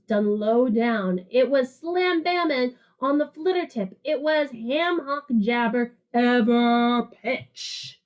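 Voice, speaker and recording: A woman's voice: sits high, darkish tone. A woman voicing a streetwise, jazz-loving alley cat who narrates a picture book in rhythmic, playful slang. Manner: slangy, jive-talking, rhythmic, playful emphasis.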